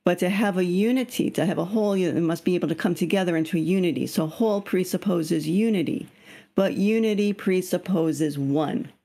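A woman's voice: on the low side, brisk, dark tone. Slightly scratchy woman leading a video-call reading group. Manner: explains patiently, asks the group questions. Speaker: study group leader. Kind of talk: leading an online reading discussion.

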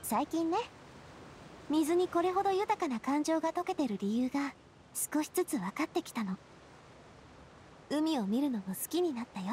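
アニメ調ゲームで、穏やかで茶目っ気のある若い女性リーダーを演じる女性。くだけた女性らしい口調で真摯に話す。. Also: female voice, sits high, animated, moderate pace, long pauses, a little hiss or hum. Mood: wistful, determined. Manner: casual, feminine, softly earnest. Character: bright, resilient young woman leader, gentle and playful. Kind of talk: Japanese anime game voice acting.

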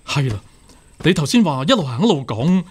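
An actor with a Hong Kong Cantonese accent playing a hot-headed, righteous young court official, earnest and impassioned, in a radio drama. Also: male voice, fairly high pitch, very animated, brisk, slightly rough, heavily acted. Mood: agitated, earnest.

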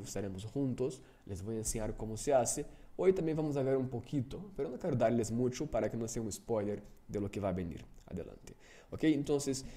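A man's voice: mid-range voice, warm tone. Man leading a workshop. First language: Portuguese. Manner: rambling, self-correcting, frequent "¿no?" tags. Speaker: workshop instructor. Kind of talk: live online workshop lecture.

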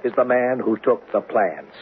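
An actor playing a stern, exasperated American police captain in a 1970s radio drama. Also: male voice, mid-range voice, measured pace, natural voice. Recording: muffled, hissy old radio broadcast.

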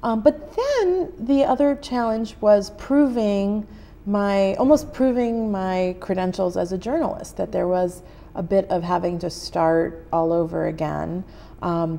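A woman in her thirties with an American accent answers questions in a TV studio, speaking into a lapel mic. Her voice is low and dark with a gravelly edge, and she pauses often to think. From United States. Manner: thoughtful, many "um" pauses, gestures while talking. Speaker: journalist guest. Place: TV studio set.

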